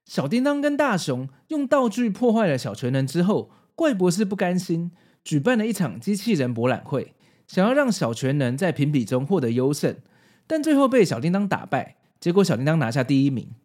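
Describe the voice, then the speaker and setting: A man's voice: a little high, very animated, a little fast, a little mellow. A man with a Taiwanese Mandarin accent, hosting a podcast with narration and casual commentary. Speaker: podcast host.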